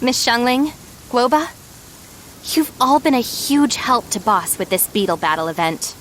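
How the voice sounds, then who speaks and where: A woman's voice: on the high side, a bit bright, a little hiss or hum. A woman playing a sensible, wry young second-in-command of a gang in a video game, matter-of-fact and polite. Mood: grateful, polite. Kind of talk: animated video game voice acting.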